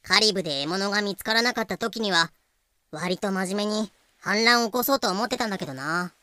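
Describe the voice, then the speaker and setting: A woman playing a brash, easily flustered pirate crew member with a casual, excitable manner in an audio drama. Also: medium pitch, fairly quick, no room sound, close to an everyday voice. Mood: cheerful. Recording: music bed behind voice.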